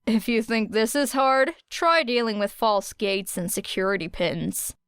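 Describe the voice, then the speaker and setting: A woman's voice: on the high side, unhurried, a bit bright, smooth, no echo, clean studio sound. A woman voicing a matter-of-fact teenage girl in a plain, practical manner.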